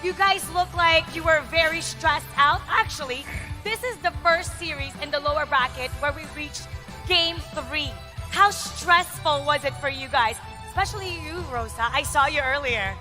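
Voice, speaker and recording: A woman's voice: high voice, moderate pace, over music. A woman interviewing esports players after a match, bubbly and playful.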